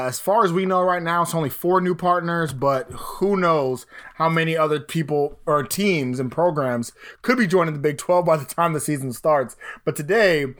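Casting: man from Texas, sports podcast host, a bit high-pitched, chatty and upbeat. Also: a bit bright. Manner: upbeat and chatty, laughing easily. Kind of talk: casual sports podcast chat.

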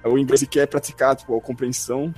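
A man's voice: medium pitch, flat delivery, quick pace, darkish tone, soft background music. A Brazilian man on a podcast, recommending a book in a hesitant way, stopping often to search for words. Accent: Brazilian Portuguese. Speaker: podcast co-host. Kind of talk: casual chat.

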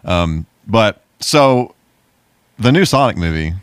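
A man's voice: fairly low pitch, big pitch swings, slow pace, smooth, dry recording. A man chatting casually as a podcast host, in an informal, rambling way. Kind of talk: casual podcast chat about movies.

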